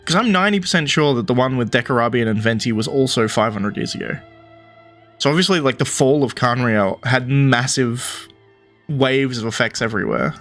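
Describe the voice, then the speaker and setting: A man's voice: medium pitch, moderate pace, a bit bright, soft background music. Man musing through casual game commentary. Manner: musing, thinking aloud. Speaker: game streamer. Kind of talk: casual let's play commentary.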